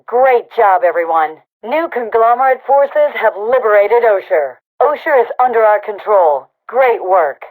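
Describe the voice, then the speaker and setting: An actress playing a brisk female rebel army commander in a video game, giving clipped, authoritative battle announcements through a radio filter. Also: mid-range voice, expressive, a little mellow. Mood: satisfied, proud. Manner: clipped, authoritative military delivery. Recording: tinny, band-limited radio comms sound.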